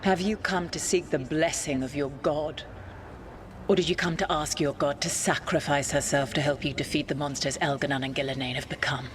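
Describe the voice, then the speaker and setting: An actress playing an imperious, wounded fragment of an ancient goddess, who speaks in a commanding, scornful way in a video game cutscene. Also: female voice, deep voice, steady tone, normal speed, a little hiss or hum, natural voice. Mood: weary.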